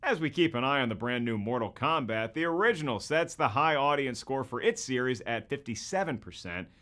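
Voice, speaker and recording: Animated, wry man narrating with big pitch swings. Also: brisk. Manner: wry, joking, exaggerated emphasis. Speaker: host of a movie comparison video. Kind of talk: scripted comedic narration.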